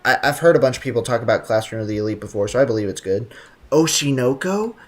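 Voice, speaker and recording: A man's voice: medium pitch, lively intonation, fast. A young man live streaming a video game, chatting casually and playfully with viewers in loose slang. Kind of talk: casual chat while gaming. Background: game music.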